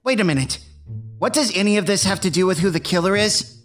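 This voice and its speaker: A man's voice: sits high, quick pace, over music, natural voice. A man voicing an impatient, skeptical cartoon crewmate with a blunt, exasperated manner. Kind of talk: cartoon voice acting.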